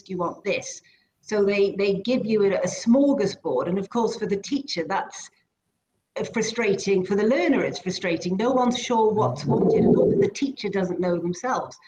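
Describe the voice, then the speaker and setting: A Welsh-born woman with a British accent speaks as an interview guest, explaining her work in a measured, articulate way. Her voice sits at a middling pitch for a woman. Welsh is her first language. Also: darkish tone, rough recording. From Wales. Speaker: guest, speech therapist and behavior analyst.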